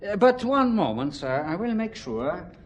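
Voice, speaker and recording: An actor playing a formal, polite hotel receptionist with a German accent in an audio drama. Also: male voice, high-pitched, sing-song, unhurried, slight background noise. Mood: formal, helpful.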